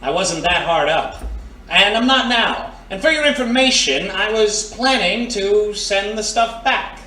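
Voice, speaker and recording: An actor playing a brash, defensive young ex-con private eye in a noir radio drama, with streetwise slang. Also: male voice, high voice, expressive, unhurried, sharp tone, full character voice. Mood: wary. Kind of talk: 1920s-style noir radio drama acting. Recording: roomy, distant, faint background noise.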